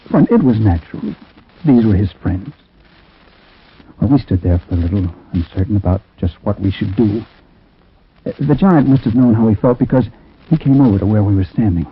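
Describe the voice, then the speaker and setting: A man's voice: on the low side, lively intonation, normal speed, pauses often, husky, heavily acted. An actor playing a troubled man who narrates an eerie story in 1940s radio drama style, reflective and conversational with hesitant asides. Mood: haunted, subdued. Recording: tinny, muffled 1940s radio recording.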